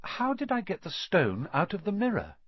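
A man's voice: high-pitched, wildly swooping pitch, moderate pace, breathy edge, no room sound, lo-fi sound, pitched above the performer's own voice, full character voice. A man voicing an earnest, curious schoolboy wizard in an audiobook, questioning eagerly and thoughtfully. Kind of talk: audiobook character voice.

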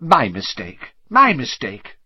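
A man's voice: mid-range voice, huge pitch swings, slow pace, husky, no echo, dull audio, full character voice. A man voicing a mischievous poltergeist in a storybook, sing-song and greasily fawning. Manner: sing-song rhymes, obsequious wheedling.

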